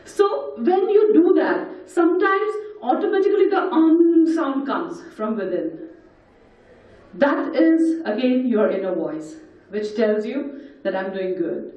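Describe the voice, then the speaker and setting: A woman with an Indian English accent and a husky voice, leading a group through breathing and sound exercises and counting the steps aloud. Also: sits high, animated, takes it slowly. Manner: instructive, counts aloud and guides the group step by step. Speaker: voice and breathing workshop leader. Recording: echoey hall, distant, dull mic.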